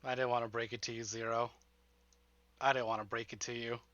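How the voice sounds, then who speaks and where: A man's voice: mid-range voice, flat delivery, brisk, fairly bright tone, no room sound, muffled recording. A man livestreaming a game with casual commentary and wry, joking asides.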